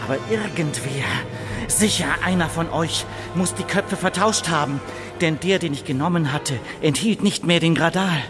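A male actor plays a blind, crippled old swindler in a radio drama. His voice sits fairly high as he tells his tale, plaintive and full of self-pity. Music plays clearly underneath. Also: moderate pace, close to an everyday voice. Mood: aggrieved. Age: older. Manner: plaintive storytelling. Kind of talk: German radio drama monologue.

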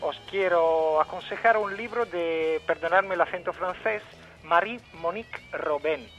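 A man's voice: high-pitched, unhurried, fairly bright tone, lo-fi sound, faint music behind. A man with an Italian accent, a native Italian speaker, chatting casually as a radio contributor. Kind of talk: casual radio chat and recommendations.

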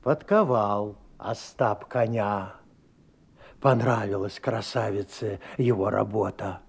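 An actor playing an old village grandfather who tells folk tales in a slow, sing-song way, in a radio play. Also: lowish voice, vocal fry, slightly rough, dry recording, heavily acted. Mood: storytelling. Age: older. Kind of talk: 1980s radio play storytelling.

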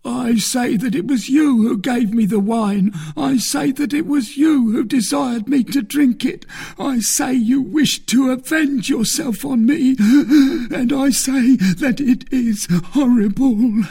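A man's voice: very high voice, mellow, slightly rough, pitched above the performer's own voice, exaggerated voice. A man voicing a dying soldier who cries out in anguish and bitterly accuses his betrayer, in storybook narration. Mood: agonized, accusing. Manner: anguished, crying out in drawn-out wails.

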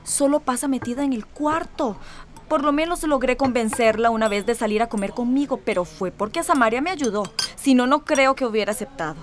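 An actress playing an anxious mother worried about her daughter, with a Costa Rican accent and a soft, sighing manner, in a radio drama. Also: fairly high pitch, fairly quick, a little hiss or hum, natural voice.

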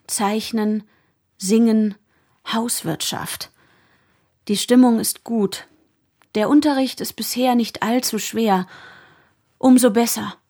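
Earnest young female student, higher voice. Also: mellow. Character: nineteen-year-old female student teacher, earnest, writing letters. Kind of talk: radio play, letters read as inner voice.

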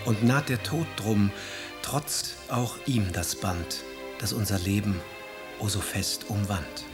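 An actor playing a grave, brooding romantic poet, solemnly reciting verse in a radio drama. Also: male voice, lowish voice, slow pace. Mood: solemn, devoted. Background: instrumental music.

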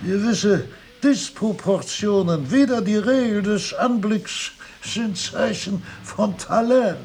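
Frail old philosopher, high thin voice, dragging halting delivery, whimsical radio-play musing. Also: male voice, expressive, darkish tone, slight background noise, exaggerated voice. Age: older. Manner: very slow, halting, murmuring to himself with chuckles. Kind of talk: radio drama acting.

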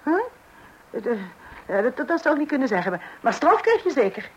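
A woman's voice: sits high, sing-song, a little fast, highs cut off, a little hiss or hum. Stern scolding mother.

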